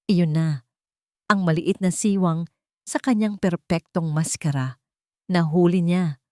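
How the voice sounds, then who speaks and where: A woman's voice: on the low side, a little slow, darkish tone, no echo, studio quality. A woman with a Filipino accent reading scripted, dramatic narration of a romance story.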